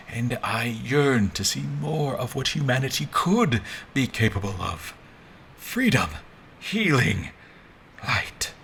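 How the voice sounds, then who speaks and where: An actor playing a grandiose, messianic zealot commander who speaks and narrates solemnly in a dramatic audio fiction. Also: male voice, fairly low pitch, sharp tone, a little hiss or hum, heavily acted. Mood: fervent. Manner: solemn, deliberate, messianic speechmaking. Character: grandiose zealot commander, a self-proclaimed visionary leader.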